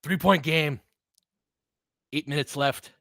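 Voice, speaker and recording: A man's voice: fairly high pitch, slow pace, gravelly, a bit bright, no echo, clean studio sound. A man doing casual, partisan live basketball play-by-play in short, clipped, informal phrases, reacting emotionally to each play. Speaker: play-by-play commentator and host. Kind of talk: casual, fan-biased live basketball commentary.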